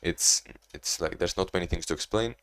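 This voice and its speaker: A young man with a deep, low voice talks through his day in a casual video diary. His delivery is monotone and meandering, full of restarts and loose asides. He sounds like he's recording at home. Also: moderate pace, smooth. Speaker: vlogger.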